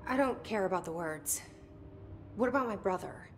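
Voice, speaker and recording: A woman's voice: mid-range voice, expressive, normal speed, slight background noise, lightly acted. An actress playing a determined, guarded young woman in a video game, speaking tersely and directly. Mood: impatient. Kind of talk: video game dialogue acting.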